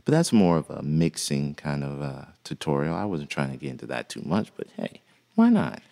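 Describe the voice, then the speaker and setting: Man, very deep voice, gravelly, relaxed folksy tutorial talk. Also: moderate pace. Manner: relaxed and folksy, with frequent "uh" fillers. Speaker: music production instructor. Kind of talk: casual software tutorial.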